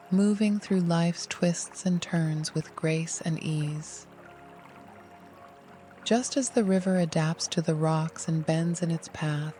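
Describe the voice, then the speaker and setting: Meditation guide voice, gentle n unhurried, flat calm pitch, warm dark tone, music and water running behind. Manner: soothing, unhurried, gentle instruction. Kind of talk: guided meditation, scripted narration.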